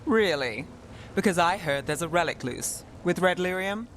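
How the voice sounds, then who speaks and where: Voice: mid-range voice, animated, moderate pace, fairly bright tone, slight background noise, lightly acted. An actor playing a cool, wry, self-assured city detective in a fantasy video game. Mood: teasing.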